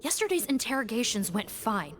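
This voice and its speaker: A woman playing a blunt, skeptical prison officer in a video game, brisk and sardonic. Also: fairly high pitch, big pitch swings, fairly bright tone, slight background noise.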